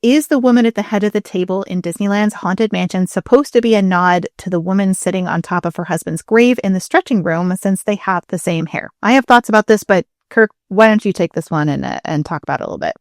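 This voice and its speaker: A woman's voice: medium pitch, fast talker, few pauses, no echo, clean studio sound. A woman co-hosting a talk show, explaining things in a casual, chatty way. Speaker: podcast co-host.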